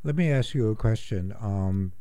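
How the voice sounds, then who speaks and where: A man hosting a community radio talk show, measured and hesitant with many ums. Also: lowish voice, expressive, warm tone, no echo.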